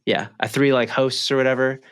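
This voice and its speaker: A man's voice: medium pitch, fairly even intonation, fairly quick, a little hiss or hum. A young man co-hosting a podcast in casual, slangy chat full of bro and dude. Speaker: podcast co-host.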